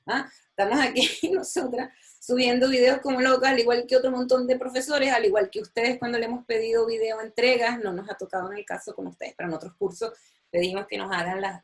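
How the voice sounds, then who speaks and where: A woman teaches a recorded university lecture from her computer. She talks in a chatty, enthusiastic way. She often repeats words and uses many fillers. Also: on the high side. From Chile. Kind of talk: recorded online lecture with screen sharing.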